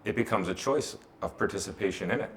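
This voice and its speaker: A man's voice: lowish voice, fast talker, a bit bright, a little hiss or hum. An American man answering interview questions, earnest and emphatic, posing rhetorical questions and answering them himself. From United States.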